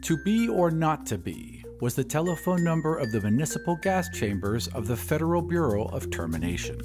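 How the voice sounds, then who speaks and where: Expressive male audio drama narrator. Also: fairly high pitch, brisk, loud music behind the voice.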